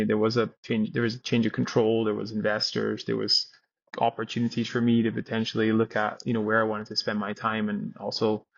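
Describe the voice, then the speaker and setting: A man's voice: fairly low pitch, vocal fry, lo-fi sound. A Scottish man in his thirties, a company founder telling his story in a podcast interview with frequent restarts. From Scotland. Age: 30s.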